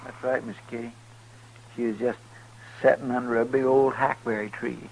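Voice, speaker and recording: A man's voice: medium pitch, level tone, normal speed, a little mellow, close to an everyday voice. An actor playing a folksy, deferential lawman's helper with a western in a radio drama. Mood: subdued. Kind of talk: 1950s radio western acting. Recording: noisy 1950s radio broadcast recording.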